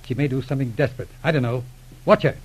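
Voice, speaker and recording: An actor playing a brisk, earnest police inspector in an old radio drama, with an urgent, formal, clipped manner. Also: male voice, medium pitch, a little hiss or hum, close to an everyday voice. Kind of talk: 1940s radio drama acting. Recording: dull old radio recording.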